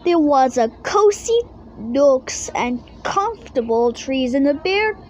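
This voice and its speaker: A woman with a high-pitched voice reads a children's picture book aloud at a slow pace. The reading is halting, with stumbles and misread words. There is faint noise in the recording. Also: lively intonation, highs cut off. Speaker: storybook reader.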